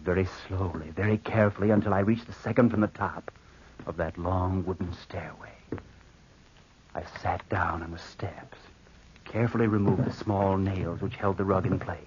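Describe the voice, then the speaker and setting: An actor playing a coldly scheming, murderous nephew in an old-time radio drama, speaking with careful deliberation. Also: male voice, low voice. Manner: slow, deliberate, hushed narration and hesitant dialogue. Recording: muffled vintage radio recording with faint noise.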